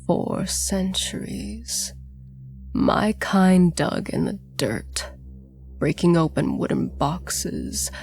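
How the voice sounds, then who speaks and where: A woman voicing a calm, ancient male ghoul with a raspy whisper in a storybook horror tale. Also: fairly low pitch, exaggerated voice. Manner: slow, calm, breathy whispering.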